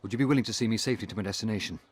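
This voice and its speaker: An actor playing a worn-out, hunted informant in a video game, talking in a hurried, conspiratorial way. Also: male voice, lowish voice, background noise, close to an everyday voice. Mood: anxious, pleading. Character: hunted, worn-out male informant seeking protection. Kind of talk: video game cutscene acting.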